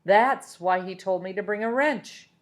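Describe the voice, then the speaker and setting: A woman's voice: mid-range voice, unhurried, natural voice. A woman voicing a determined, politely stubborn schoolboy in a storybook, earnest and bold. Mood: gleeful.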